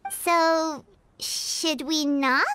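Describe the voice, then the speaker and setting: A woman voicing a small, excitable, nervous childlike fairy companion in an anime video game. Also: sits high, drawn-out words, slight background noise, heavily acted. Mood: curious. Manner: refers to self in third person, exclaiming.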